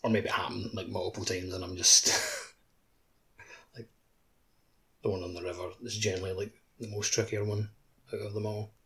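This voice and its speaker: A man's voice: lowish voice, level tone, moderate pace, pauses often, fairly bright tone, a little hiss or hum, rough recording. A man co-hosting a casual gaming podcast, speaking informally and conversationally. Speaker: podcast co-host. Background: faint music.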